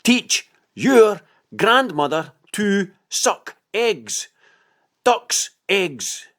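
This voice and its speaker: A man's voice: high voice, very animated, a bit bright, pitched above the performer's own voice, heavily acted. Man doing a cheeky, haughty little girl. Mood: teasing, cheeky. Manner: slow, deliberate, taunting.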